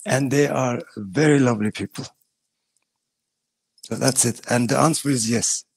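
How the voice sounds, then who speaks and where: A man's voice: medium pitch, moderate pace, creaky, slightly rough. A man with a Turkish accent, talking earnestly in broken English on a video call from a small bathroom at home. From Turkey. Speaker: guest giving testimony. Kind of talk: casual video call chat.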